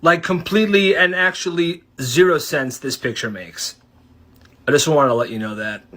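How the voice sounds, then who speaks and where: A young American man telling stories casually and comically in slangy, animated speech for social media. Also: on the high side, moderate pace. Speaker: short-video storyteller.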